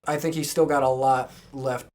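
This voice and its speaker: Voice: mid-range voice, steady tone, normal speed, very noisy. A podcast co-host chatting casually and enthusiastically as a wrestling fan.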